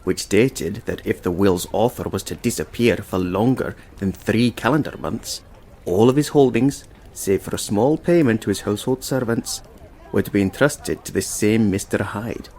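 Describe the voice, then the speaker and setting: An actor playing a measured, inquisitive Victorian lawyer in a stage drama, formal, deliberate and dryly witty. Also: male voice, on the low side, darkish tone. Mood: thoughtful, intrigued. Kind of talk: theatrical stage drama acting.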